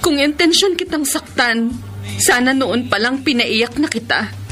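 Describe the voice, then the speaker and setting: A woman's voice: high-pitched, expressive, a little slow, fairly bright tone, faint music behind. An actress playing a remorseful young woman pleading to explain herself in a radio drama. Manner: pleading, emotional. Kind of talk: broadcast radio drama acting.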